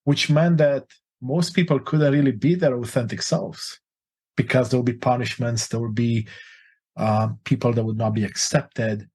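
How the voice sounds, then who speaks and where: Husky earnest Romanian-born man, 40. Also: medium pitch, steady tone, normal speed, a little mellow. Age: 40s. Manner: earnest, open and emotional. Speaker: guest life coach. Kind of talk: reflective interview storytelling.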